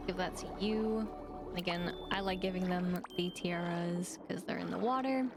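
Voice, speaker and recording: A woman's voice: on the low side, normal speed, music in the background. A woman streaming a video game, giving casual, rambling commentary as she thinks aloud. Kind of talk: casual live gaming commentary.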